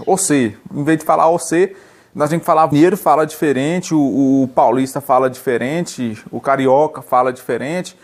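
A young man from Minas Gerais with a mineiro accent, rambling and joking in a casual comic monologue, filmed indoors on a phone held at arm's length. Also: on the high side. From Minas Gerais, Brazil. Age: young adult. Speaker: vlogger. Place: indoors, seated on a wooden bench by a painted wall.

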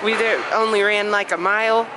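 A woman's voice: mid-range voice, fairly even intonation, brisk. Chatty young woman vlogging in a gym. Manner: chatty, laughs often. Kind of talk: casual vlog chat. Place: large busy gym, indoors. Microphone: handheld camera. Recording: loud gym noise behind.

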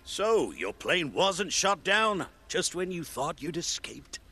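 An actor playing a mocking, pretentious cultist artist, a talking severed head, theatrical and grandiose in a video game. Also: male voice, fairly high pitch, very animated, slow pace, bright, forceful tone, breathy edge, exaggerated voice. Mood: grandiose, knowing. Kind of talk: video game cutscene acting.

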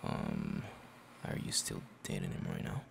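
A man acting a flustered, jealous young best friend in an intimate roleplay, with halting, stammering speech and sighs. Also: very deep voice, steady tone, creaky, background noise, full character voice. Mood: jealous, unsettled. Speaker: roleplay audio performer.

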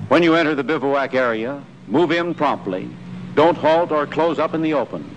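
A man with an American accent reads a scripted 1940s army training film narration. His voice sits a little high, and he gives instructions in a clear, imperative manner. The old film soundtrack carries a faint layer of noise. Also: animated, normal speed, rough recording.

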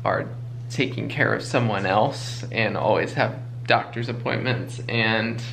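A man's voice: on the low side, a little slow, creaky, a little hiss or hum, low-quality recording. A man in his thirties, a vlog co-host, chatting casually and a bit ramblingly at his kitchen table. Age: 30s. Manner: relaxed, rambling, restarts his sentences. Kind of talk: casual chat.

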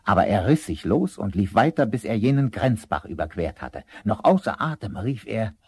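A man's voice: on the low side, sing-song, moderate pace, a little mellow, slightly rough. A man narrating a fairy tale as a scripted storyteller for a radio play.

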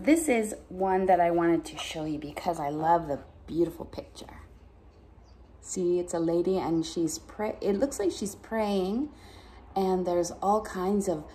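A woman's voice: medium pitch, warm tone, a little hiss or hum. A middle-aged woman, a pastor hosting story time, reading poems aloud expressively to children with casual asides. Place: bright dining room at home.

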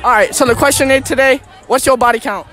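A man's voice: extremely high pitch, sharp tone. A young man running a casual street interview at a night event, asking the same question over and over and teasing the answers. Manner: brisk, repetitive, laughing, teasing. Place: outdoor field at night event. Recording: outdoor phone recording with crowd noise. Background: crowd chatter and music.

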